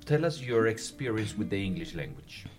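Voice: mid-range voice, lively intonation, normal speed, darkish tone, faint music behind. An interviewer asking a student questions for listeners, encouraging her, rephrasing questions and supplying the words she is missing. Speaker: interviewer host.

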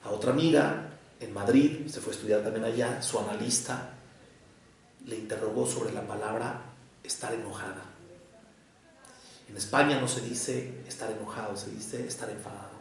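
A man from Mexico with a Mexico City and Jalisco accent, a psychoanalyst giving a measured, reflective lecture to an audience. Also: lowish voice, vocal fry. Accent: Mexican, Mexico City mixed with Jalisco. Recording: echoey hall, voice from far off.

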